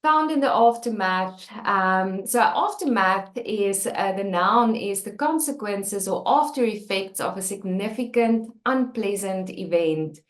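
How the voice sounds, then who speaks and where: A woman's voice: medium pitch, a little slow, hardly any pauses, echoey room. A woman from South Africa, a native Afrikaans speaker with a South African accent, talking candidly and earnestly about her writing, with many fillers and restarts. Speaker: author and channel host. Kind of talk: personal talk about her book.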